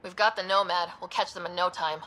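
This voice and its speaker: A woman's voice: on the low side, fairly even intonation, brisk, pushed and bright, a little hiss or hum. A woman playing a determined, wry space explorer leader in a video game, assertive and sardonic. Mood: brisk, assertive.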